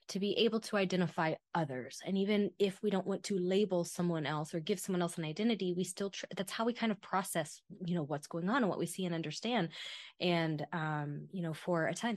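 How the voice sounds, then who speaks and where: A middle-aged woman hosting a podcast, asking long, thoughtful questions in a relaxed conversational way. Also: fairly low pitch, dry recording. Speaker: podcast host. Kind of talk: conversational interview.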